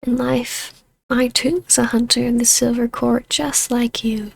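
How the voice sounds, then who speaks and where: Woman playing a hushed, high-voiced imperious vampire baroness. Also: level tone, full character voice. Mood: mocking, amused. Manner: slow, hushed, breathy delivery slipping into whispers; taunting, imperious, measured. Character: vampire baroness, ancient huntress turned ruler, taunting, imperious, regal. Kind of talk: scripted ASMR audio roleplay.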